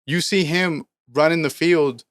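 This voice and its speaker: Man around thirty, podcast co-host, smooth resonant voice, dry close mic, emphatic and profane. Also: on the high side, unhurried, no echo. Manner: emphatic, profane, preachy motivational rants. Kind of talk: casual podcast chat.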